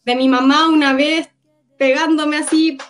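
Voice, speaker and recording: A young woman from Gaza giving an earnest, reflective personal testimony in an interview, often pausing to recall. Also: sits high, steady tone. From Gaza, Palestine.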